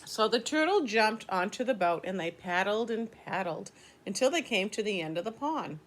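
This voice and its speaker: A woman's voice: mid-range voice, expressive, normal speed. An older woman reading a children's story aloud, explaining things simply as a teacher would to young listeners.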